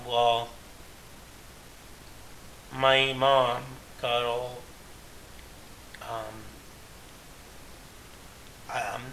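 A man's voice: mid-range voice, sharp tone, a little hiss or hum, poor audio. A Canadian man in his late thirties, talking earnestly and at length in a rambling, self-correcting personal monologue as a podcast host. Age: 30s.

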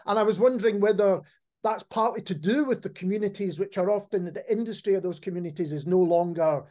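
Male interviewer for a conversational podcast, notably high in pitch. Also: fast talker, mellow, dull audio. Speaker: podcast host.

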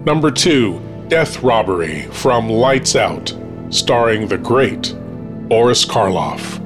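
A man hosting a show, reading scripted countdown narration in a measured, deliberate announcing style. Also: medium pitch, expressive. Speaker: podcast host. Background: music.

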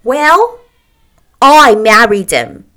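A woman voicing a brash, scornful married woman in a storybook reading, loud, emphatic and incredulous. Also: a little high, very animated, very slow, pitched above the performer's own voice, full character voice. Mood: insistent.

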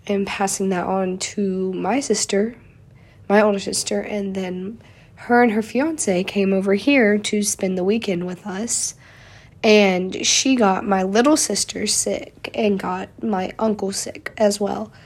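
A woman's voice: medium pitch, a little mellow. A young woman, hosting a casual solo podcast, a little stuffy from a cold and chatty with lots of fillers. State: slightly congested from a cold. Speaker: podcast host.

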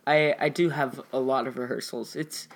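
A man's voice: medium pitch, fairly even intonation, moderate pace. A young American man chatting casually as an actor guest on a podcast. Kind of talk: casual chat.

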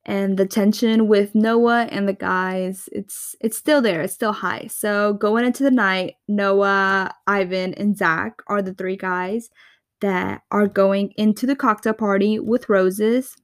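A woman's voice: medium pitch, steady tone, slow pace, no echo, clean studio sound. A woman hosting a casual recap podcast, chatty and gossipy, often laughing between thoughts. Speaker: podcast host.

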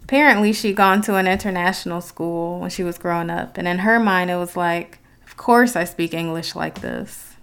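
A woman's voice: on the low side, normal speed, hardly any pauses, smooth. A woman from Detroit with an American accent, hosting a reflective solo podcast in an articulate, conversational way. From Detroit, United States. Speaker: podcast host.

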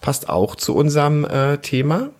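A man's voice: a little high, animated, normal speed. A man hosting a casual family podcast, chatting in a patient, encouraging way and hesitating as he explains. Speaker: podcast host.

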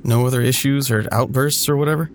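Man acting as curious local, medium pitch, blunt, casual dialogue, radio play, faint noise behind. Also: fairly even intonation, fast, natural voice. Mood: curious, concerned. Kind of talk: naturalistic audio drama dialogue.

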